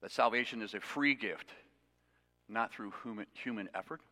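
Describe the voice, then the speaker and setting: A man's voice: lowish voice, moderate pace, pushed and bright. A man preaching an expository sermon to his congregation, conversational with self-deprecating asides. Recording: long reverb of a hall.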